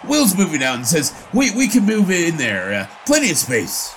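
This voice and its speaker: An actor playing an earnest young suitor who rambles eagerly and stammers in a period audio drama. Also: male voice, on the high side, wildly swooping pitch, normal speed, a bit bright. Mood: nervous, eager. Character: earnest, babbling young 1920s suitor and announcer. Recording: noisy outdoor ambience behind.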